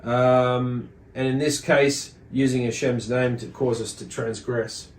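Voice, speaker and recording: A man giving an explanatory religious lesson as a teacher, speaking in a measured way with deliberate emphasis and repetition. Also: mid-range voice, flat delivery, a little hiss or hum. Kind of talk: explanatory religious lesson, reading and commenting on a text. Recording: echoey, roomy sound.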